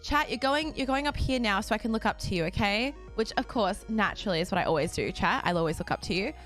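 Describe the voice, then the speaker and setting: A young woman streaming a game and chatting casually with her viewers, bubbly and quick to laugh, her voice a little raspy from just waking up. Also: mid-range voice, lively intonation, a bit bright, faint music behind. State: slightly raspy, just woken up. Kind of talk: casual chat with a live stream audience.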